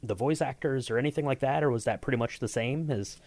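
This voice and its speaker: A man hosting a podcast interview, phrasing his questions haltingly and repeating words. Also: mid-range voice, darkish tone. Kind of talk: casual interview.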